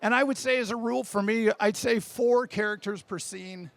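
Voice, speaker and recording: A man's voice: high voice, normal speed, vocal fry, no room sound. A man giving an informal conference talk on writing, casual and jokey with a self-deprecating streak. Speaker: speaker giving a workshop talk.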